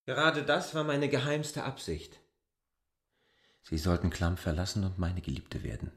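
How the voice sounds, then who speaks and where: Radio play casting: bold land surveyor, low voice, measured, coolly insistent. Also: male voice, big pitch swings, a bit bright, exaggerated voice. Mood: brazen. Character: bold, cool, calculating male outsider, a land surveyor. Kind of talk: literary radio drama acting.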